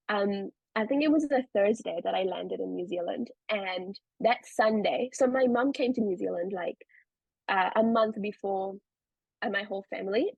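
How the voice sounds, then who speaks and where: A young woman with a South African accent talks easily as a podcast guest, loose and chatty, with plenty of fillers. Her voice is a little husky and sits at a middle pitch. The sound is dry and close. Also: darkish tone, no room sound, lo-fi sound. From India. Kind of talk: casual interview chat.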